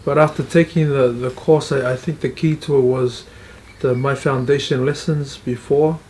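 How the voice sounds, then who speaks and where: A man's voice: mid-range voice, fairly even intonation, moderate pace, darkish tone, slight background noise. A middle-aged man, earnestly sharing his experience in an interview, often repeating and restarting phrases. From Tonga, raised in New Zealand. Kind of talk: testimonial interview.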